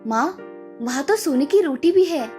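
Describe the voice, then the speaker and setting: A man's voice: very high-pitched, lively intonation, moderate pace, a little mellow, soft background music, voice pushed up. A man voicing a proud, spoiled young village woman who is haughty and dreamy, for a cartoon. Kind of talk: cartoon voice acting.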